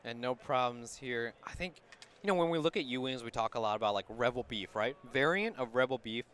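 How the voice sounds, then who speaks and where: A man's voice: medium pitch, fairly quick, fairly bright tone. A man co-commentating a tabletop game live at a convention, who keeps ending his remarks with "right?". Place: convention center hall.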